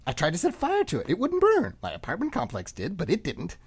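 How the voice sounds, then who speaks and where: A man acting in a comic radio play as a frantic, rambling middle-aged man under arrest, excitable and often interrupting himself. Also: sits high, a little fast, slightly rough, full character voice. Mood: desperate, pleading.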